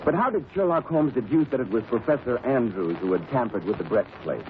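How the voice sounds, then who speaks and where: A man's voice: medium pitch, expressive, a little fast, narrow, tinny audio. A man hosting as a scripted 1930s radio announcer. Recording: noisy 1930s radio recording.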